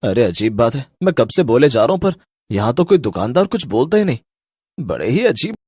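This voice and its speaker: A man's voice: medium pitch, big pitch swings, a little fast, warm tone, breathy edge, no room sound, studio quality. A man playing an anxious, bewildered husband and father in a cartoon horror story, with exclamatory delivery. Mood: confused. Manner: exclamatory, worried, puzzled.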